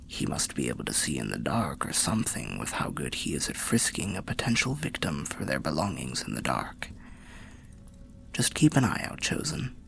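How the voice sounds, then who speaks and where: Man playing a gravelly, low-voiced old undead soul. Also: fairly bright tone, a little hiss or hum, full character voice. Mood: wry, cautioning. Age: older. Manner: slow, cryptic, courteous storyteller. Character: weary old undead soul telling lore by a bonfire. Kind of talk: soft-spoken fantasy roleplay ASMR narration.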